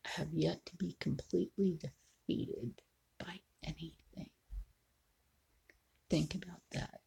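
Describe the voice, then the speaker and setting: A woman in her forties chatting casually to viewers while she draws, often sinking into a low whisper. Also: steady tone, creaky, warm tone, husky. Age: 40s. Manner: slow, frequently drops into a whisper. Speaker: video creator. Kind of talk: casual livestream chat while drawing.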